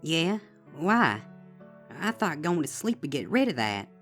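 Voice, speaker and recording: A man voicing a frightened, suspicious young filly pony, speaking in a high, shaky, pleading way. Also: sing-song, unhurried, a bit bright, soft background music. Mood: anxious.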